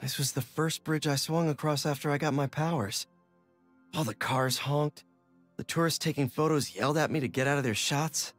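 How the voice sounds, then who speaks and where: An actor playing an earnest, self-deprecating young superhero in a video game, casual and wry. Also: male voice, on the high side, normal speed, faint music behind, lightly acted. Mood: amused. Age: young adult.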